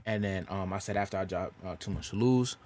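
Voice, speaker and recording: A young man with a deep voice, chatting casually about making his music and saying "bro" a lot. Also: a little fast, darkish tone. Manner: loose slangy talk, says "bro" a lot. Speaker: rapper and singer.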